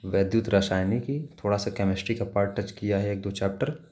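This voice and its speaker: A man's voice: on the low side, steady tone, brisk, a little mellow. A man talks like an exam coach. He walks listeners through material informally, step by step, often repeating his advice. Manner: repetitive, step-by-step advising. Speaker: exam preparation teacher. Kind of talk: informal instructional screen walkthrough.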